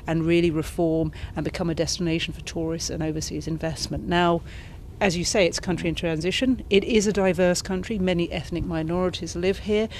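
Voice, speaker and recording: A middle-aged British woman answering interview questions as an ambassador, in a measured, articulate way, seated indoors with a handheld mic. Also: on the low side, fairly even intonation, a little hiss or hum. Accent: British English. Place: indoor reception room, seated on a sofa. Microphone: handheld interview mic held by interviewer.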